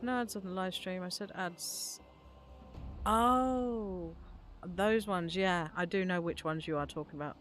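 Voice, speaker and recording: A woman's voice: on the low side, a little slow, a bit bright. A woman chatting casually and playfully as she plays on a gaming live stream. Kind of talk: casual live stream chat while playing. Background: faint music.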